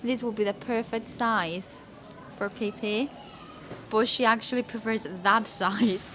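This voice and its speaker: A woman's voice: on the high side, fairly even intonation, measured pace, fairly bright tone, a little hiss or hum, rough recording. Chatty young British woman vlogging. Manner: chatty and giggly, talks straight to viewers. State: tired after work. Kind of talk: casual vlog chat. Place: large furniture store, indoors.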